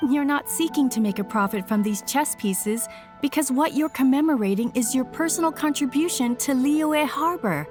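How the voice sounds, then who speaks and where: A woman playing a polite, earnest professional from a craft business in a video game, friendly and expressive. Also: high-pitched, moderate pace. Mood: impressed. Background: background music.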